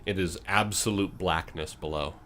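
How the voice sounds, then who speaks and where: A man with a fairly low voice runs a tabletop game as host and game master. He narrates dramatically in suspenseful phrases, with clear ambient noise behind him. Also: moderate pace, bright, forceful tone.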